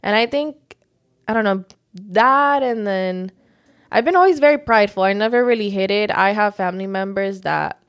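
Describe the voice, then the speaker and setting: A young woman in casual conversation, speaking with animated energy. She laughs often and leans on fillers like "like" and "honestly". Her tone stays relaxed and open. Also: medium pitch, normal speed. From United States. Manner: animated, laughing, frequent likes. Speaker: guest.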